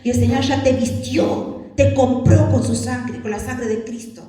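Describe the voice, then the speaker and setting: A woman from Argentina with a Rioplatense accent preaching a sermon in a church, animated and acting out little scenes and prayers in different voices. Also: a little high, unhurried. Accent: Rioplatense Spanish. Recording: echoey church hall.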